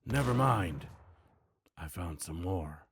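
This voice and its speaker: Man doing a slow, low hardboiled noir gunman. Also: expressive, background noise, full character voice. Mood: tense, defiant. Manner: terse, deliberate, brooding storytelling. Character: hardboiled male noir gunman, weary, grim, self-narrating. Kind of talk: noir game monologue, voice acting practice.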